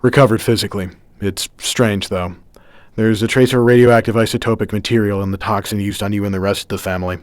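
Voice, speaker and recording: A man's voice: lowish voice, fairly even intonation, fast talker, dry recording, studio quality, close to an everyday voice. A man playing a grave, brooding vigilante hero in a radio drama, serious and restrained. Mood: calm, caring.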